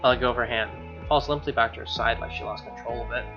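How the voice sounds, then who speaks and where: A man's voice: medium pitch, fairly even intonation, fast talker, vocal fry, muffled recording, over music. A man reading a visual novel aloud as a gamer, mixing narration with informal, slangy asides. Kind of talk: dramatic reading with casual asides.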